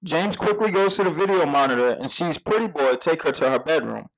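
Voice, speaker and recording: A man reading scripted stage directions as narrator at a live table read, measured with occasional stumbles. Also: fairly high pitch, animated, low-quality recording.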